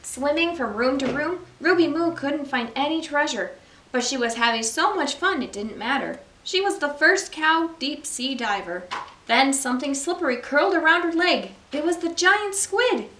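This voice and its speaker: A woman reading a children's storybook aloud as a library story time host, with expressive, deliberate phrasing for young listeners. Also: sits high, slight background noise. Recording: roomy echo, distant microphone.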